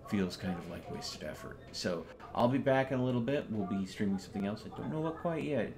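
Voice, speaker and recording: A man's voice: on the low side, expressive, fairly quick, a crowd in the background. A man hosting a live gaming stream, chatting casually as he plays. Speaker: video game streamer, host. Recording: clearly noisy home stream recording.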